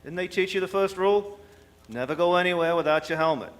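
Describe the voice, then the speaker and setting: Man acting a bluff, high-voiced space mining boss. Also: quick pace, lightly acted. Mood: brisk, wry. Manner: bluff, bossy, wry. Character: brisk senior asteroid mining supervisor, worldly and gruffly friendly. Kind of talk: radio drama acting.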